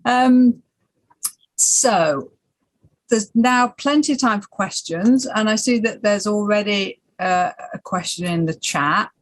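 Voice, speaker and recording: Gentle woman chairing a seminar, dry sound. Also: medium pitch, takes it slowly, no echo, low-quality recording. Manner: gentle, hesitant, encouraging. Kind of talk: moderating an online Q&A. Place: online seminar, video call.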